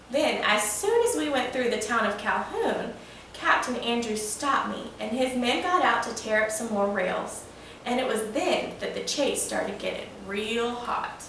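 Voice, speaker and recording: A woman's voice: on the high side, a bit bright. A woman voicing a proud old male steam locomotive spinning a folksy, boastful yarn for a children's storybook. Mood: folksy, excited. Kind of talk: children's storybook read-aloud. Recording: roomy, echoing, distant mic with faint noise.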